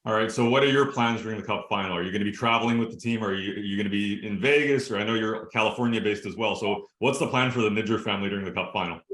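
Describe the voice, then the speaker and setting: A man's voice: on the low side, rapid-fire, hardly any pauses, a bit bright, roomy sound. A man, a sports show host asking long, eager, rambling interview questions.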